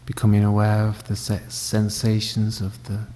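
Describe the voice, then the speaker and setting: Soft, low-voiced man guiding meditation. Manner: calm, gentle, unhurried with long pauses. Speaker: meditation teacher. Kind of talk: guided body scan meditation. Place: indoor room at a wellness centre. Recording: reverberant hall, faint background noise.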